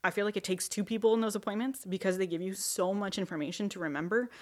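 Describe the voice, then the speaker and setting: A young woman and new mother telling her pregnancy story in a relaxed interview, with many fillers and restarts. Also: mid-range voice, quick pace. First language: not French. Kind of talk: personal testimony interview.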